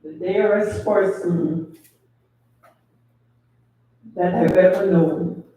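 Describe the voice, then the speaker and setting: An older man from Guyana, giving a slow, deliberate memorial tribute as a family elder. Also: high-pitched, fairly even intonation, mellow, slight background noise. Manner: slow, deliberate, pausing between phrases. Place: funeral home chapel. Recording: very echoey hall, distant mic.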